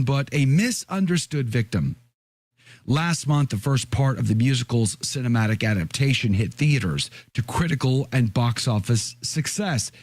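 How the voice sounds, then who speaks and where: A man with a hoarse, raspy voice from a cold reads an article at a measured pace, adding his own comments. His pitch sits in an ordinary middle range. The sound is dry and close, with no room echo. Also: darkish tone. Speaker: podcast host. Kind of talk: reading an article aloud with commentary.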